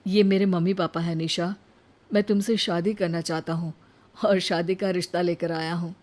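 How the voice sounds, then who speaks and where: A woman's voice: fairly low pitch, little pitch movement, moderate pace, darkish tone, close to an everyday voice. A woman voicing an earnest young male suitor in a moral story, polite and direct. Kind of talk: animated moral-story acting.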